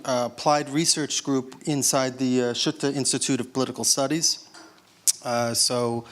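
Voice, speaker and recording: Conference speaker, man, New York accent, resonant and clear, hesitant delivery. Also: medium pitch. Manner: halting, many filler sounds. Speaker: grant writing unit head, speaker. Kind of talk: conference presentation.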